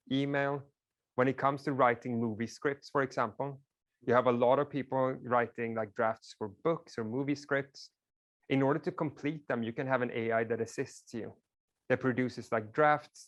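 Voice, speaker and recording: A man whose first language is Swedish lectures a class in a relaxed, explanatory way. His voice sits at an ordinary middle pitch, and he often drops in casual fillers.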